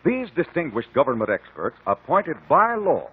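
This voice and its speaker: High-pitched, emphatic old radio pitchman. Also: wildly swooping pitch, normal speed, slightly rough. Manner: emphatic, declamatory. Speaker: commercial announcer. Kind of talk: 1950s radio advertising pitch. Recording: tinny lo-fi 1950 radio broadcast.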